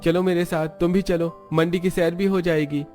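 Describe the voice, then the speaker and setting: A man voicing a cheerful, persuasive young husband and son in an animated family story. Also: sits high, normal speed, faint music behind, voice pushed up, lightly acted. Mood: coaxing. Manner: upbeat, persuasive.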